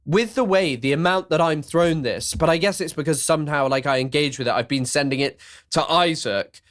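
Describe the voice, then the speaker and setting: A man hosting a podcast in casual chat, with a loud voice and a rambling way of talking full of restarts. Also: fairly high pitch, a little fast. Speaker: podcast host.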